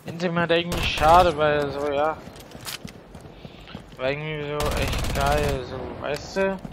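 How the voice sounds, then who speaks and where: A man chats casually over a video game, thinking aloud in short, rambling fragments. His delivery is slow and flat, with clear noise behind his voice. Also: fairly high pitch. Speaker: gaming video creator. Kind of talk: casual gameplay commentary.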